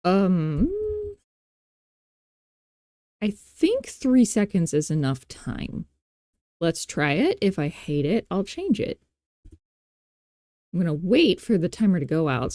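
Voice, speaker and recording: A woman hosting a software tutorial, thinking aloud in an informal, playful, self-deprecating way into a close mic. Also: sits low, sing-song, slow, long pauses, no echo. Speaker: tutorial host.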